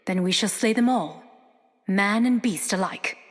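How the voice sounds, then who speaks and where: A woman's voice: medium pitch, measured pace, big-room sound, close to an everyday voice. A woman voicing a fierce female warrior in a video game, speaking in grand, old-fashioned phrasing.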